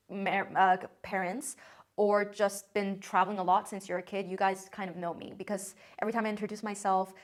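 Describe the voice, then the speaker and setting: Upbeat young American-accented woman. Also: mid-range voice. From China. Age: 20s. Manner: chatty and upbeat, frequent "like" and "um" fillers. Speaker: content creator. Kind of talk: casual vlog talk to camera.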